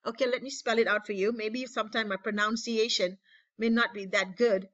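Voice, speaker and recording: A woman's voice: on the high side, fairly even intonation, brisk, a bit bright, dull audio. A woman leading a network marketing training over a webinar, talking enthusiastically and repeating phrases to rally her listeners. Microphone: webinar call.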